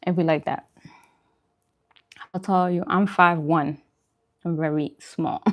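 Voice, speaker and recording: A woman's voice: fairly low pitch, fairly even intonation, slow. A woman chatting casually on a livestream as host while she eats, informal and self-deprecating in her manner. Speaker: vlogger hosting a live mukbang stream.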